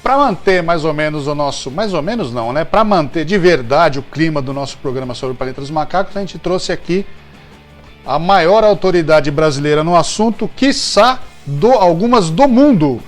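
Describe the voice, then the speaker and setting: A man's voice: fairly high pitch, animated, normal speed, faint music behind. A Brazilian man hosting a show, talking casually and jokily about trivia and often correcting himself. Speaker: show host. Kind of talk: casual, chatty TV-trivia commentary.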